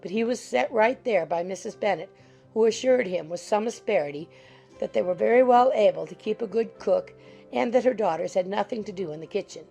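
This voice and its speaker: A woman's voice: mid-range voice, brisk, vocal fry, mellow, slightly rough, soft background music. A woman narrating a classic novel as a scripted audiobook.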